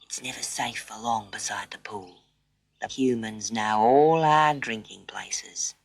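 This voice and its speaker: A woman voicing a brave, protective mother kangaroo in a children's story, speaking in a hushed, cautious way. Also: rumbling low, measured pace, dry recording, voice pushed down, full character voice. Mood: wary, protective.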